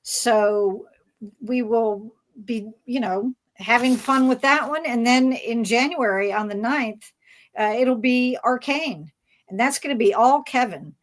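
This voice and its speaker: A woman's voice: a little high, slow. A woman hosting a casual fan panel, talking in a hesitant, rambling way as she searches for words. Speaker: panel host.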